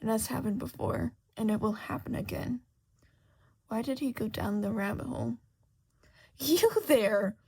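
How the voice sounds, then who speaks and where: A woman narrating fan fiction dramatically and expressively, at times hushed. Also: fairly high pitch, steady tone, normal speed, gravelly, husky. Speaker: podfic narrator.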